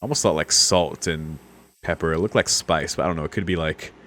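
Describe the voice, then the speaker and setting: A man's voice: extremely low pitch, a little fast, a bit bright, slight background noise. A man streaming a let's play, amused and slangy, commenting casually and reading lines aloud.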